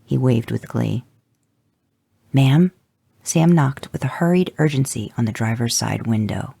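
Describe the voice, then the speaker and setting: A podcast producer reading fan fiction aloud as a podfic, expressive and voicing the characters. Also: medium pitch, normal speed, gravelly, husky, no echo.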